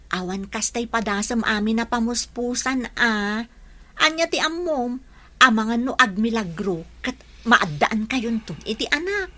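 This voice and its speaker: A woman's voice: on the high side, animated, unhurried, pushed and bright, heavily acted. A woman playing a concerned mother advising her married daughter in a radio drama, motherly and emphatic, with an Ilocano accent. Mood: coaxing. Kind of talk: Filipino radio drama acting.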